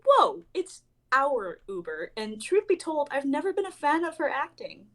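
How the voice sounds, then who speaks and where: Lively, higher-voiced woman as a playful young woman. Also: normal speed. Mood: teasing. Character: playful, teasing young woman named Bellavia sharing a ride. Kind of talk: casual dramatic reading of a script.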